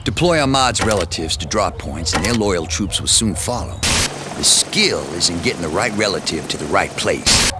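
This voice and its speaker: An actor playing a stern male commanding officer, delivering grave, clipped briefings over loud noise. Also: sits low, lively intonation, moderate pace. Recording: loud noise under game audio.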